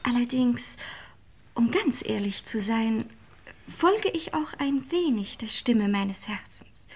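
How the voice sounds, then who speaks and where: An earnest young lady of the manor in a radio drama. Her voice is high, her phrasing solemn and stilted with an eager streak. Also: lively intonation, slow pace, darkish tone, slightly rough, heavily acted. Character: earnest, high-minded young aristocratic niece. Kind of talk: German radio crime play acting.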